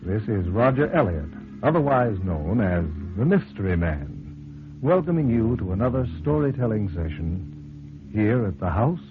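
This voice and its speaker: An actor playing a courteous, formal mystery storyteller in an old-time radio drama, with measured theatrical delivery. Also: male voice, fairly low pitch, sing-song, music in the background. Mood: genial, formal. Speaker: host and storyteller. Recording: tinny, hissy old radio recording.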